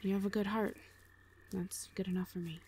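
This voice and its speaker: A woman voices a commanding female orc mercenary in an audio roleplay. The voice sits at a middle pitch, calm and reassuring, with short, plain, measured phrases. There is faint forest noise behind. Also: close to an everyday voice. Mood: calm reassurance. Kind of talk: audio roleplay drama acting.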